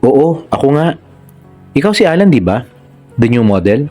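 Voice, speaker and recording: A man's voice: medium pitch, very animated, moderate pace, mellow, faint music behind, voice pushed up. A Filipino man voicing an earnest, reassuring young male photographer in a romance story. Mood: friendly.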